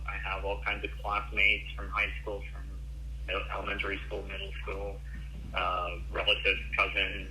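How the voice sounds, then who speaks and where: A man in his forties from Ukraine, a guest on a radio interview, who talks haltingly with many ums and restarts. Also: on the low side, bright, forceful tone, slight background noise, rough recording.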